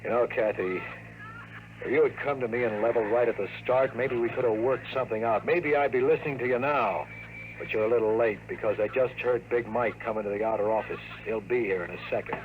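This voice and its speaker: A man's voice: medium pitch, brisk, phone-quality sound, natural voice. An actor playing a streetwise, impatient tough guy in 1950s radio drama, with clipped, sardonic, slangy talk. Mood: wry, probing. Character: tough, wry, streetwise hardboiled man, impatient. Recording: noisy old radio drama recording.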